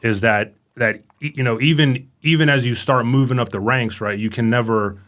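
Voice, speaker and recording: A man's voice: medium pitch, moderate pace, a bit bright. A middle-aged man from Romania, raised in Los Angeles, chatting casually as an interview guest, filling his speech with "right?" and "you know". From born in Romania, raised in Los Angeles. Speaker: guest, command sergeant major.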